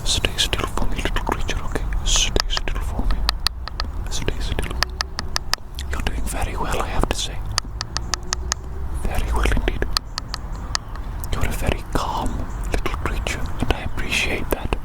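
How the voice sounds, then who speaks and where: Hushed, breathy whisper, playful ASMR caretaker. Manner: slow, repetitive, playful soothing phrases. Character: playful fussy caretaker grooming a little creature. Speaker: ASMR roleplay performer.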